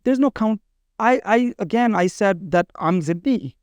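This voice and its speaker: A man's voice: high voice, expressive, a little slow, dry recording, highs cut off. A man talking casually as an interview guest, earnest and often repeating his phrases. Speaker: podcast guest, bridal expo organizer.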